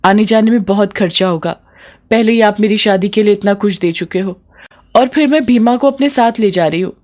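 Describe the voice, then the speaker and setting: A woman plays a young village bride in a moral cartoon tale. She speaks earnestly and with emotion, lifting her pitch a little. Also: fairly quick, a little mellow, natural voice. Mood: reassuring, determined. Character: young village bride, devoted, distressed, pleading.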